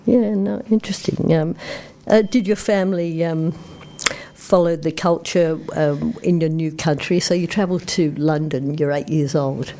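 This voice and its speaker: A middle-aged woman hosts a radio interview, and her voice is very low and mellow. She asks questions in an encouraging way and affirms often as her guest talks. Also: fairly even intonation, normal speed. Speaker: radio host. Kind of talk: interview conversation. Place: radio studio.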